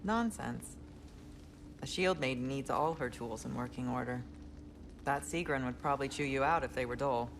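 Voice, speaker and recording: A woman playing a proud, stern middle-aged noblewoman in a fantasy video game, speaking in a formal, firm, measured manner. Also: extremely low pitch, background noise. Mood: motherly, firm.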